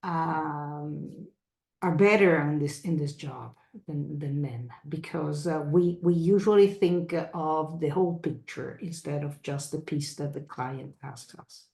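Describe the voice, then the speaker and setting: A middle-aged woman from Brazil, a restructuring lawyer speaking on a video call panel, talking haltingly with many fillers and restarts. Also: low-pitched, vocal fry, darkish tone, reverberant.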